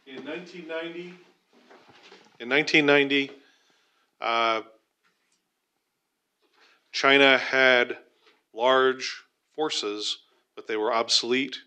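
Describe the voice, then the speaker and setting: A man on a forum panel answering a question with a measured, explanatory manner. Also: mid-range voice, bright, forceful tone.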